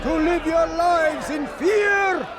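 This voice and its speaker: An actor playing a fanatical, cruel tyrant in a video game, with a theatrical, declamatory delivery. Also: male voice, extremely high pitch, takes it slowly, a crowd in the background, noisy background. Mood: fervent, commanding. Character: fanatical, cruel male tyrant preaching to a crowd. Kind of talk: video game villain acting.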